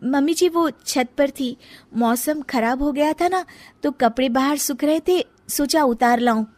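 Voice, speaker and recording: A woman's voice: high voice, measured pace, darkish tone, pitched above the performer's own voice. A woman voicing an anxious, meek young daughter-in-law with a secret in a family story, pleading and deferential. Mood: nervous, deferential. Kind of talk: dramatized Hindi family storybook acting.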